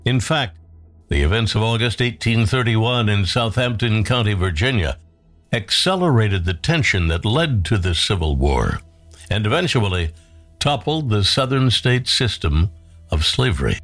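A man's voice: fairly low pitch, expressive, normal speed, a bit bright. A man narrating a scripted history documentary. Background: music.

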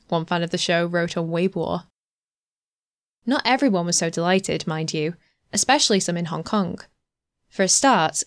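A woman reading a scripted news feature in an even, measured way into a close studio mic. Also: on the low side, dry recording. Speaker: news reader.

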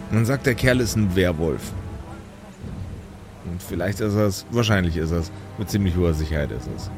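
Man playing a shady, insinuating low-voiced go-between. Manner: drawn-out, insinuating repetitions. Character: shady, evasive go-between with cryptic hints.

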